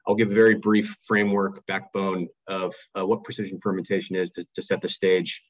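Deep-voiced male webinar host. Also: level tone, fairly quick, highs cut off. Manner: reads a scripted intro, then hesitant with fillers and restarts. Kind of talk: webinar moderation.

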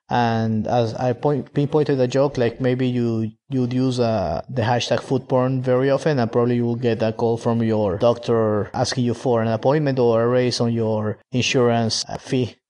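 Venezuelan-born male founder, halting interview guest with fillers, average pitch. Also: a little mellow. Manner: halting, with many fillers and restarts. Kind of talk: casual interview answers.

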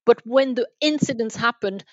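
Halting woman guest, dull close recording. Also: a little high, no room sound. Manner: halting, repeating and rephrasing phrases. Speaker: guest, enterprise sales manager. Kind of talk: conversational podcast interview, explaining.

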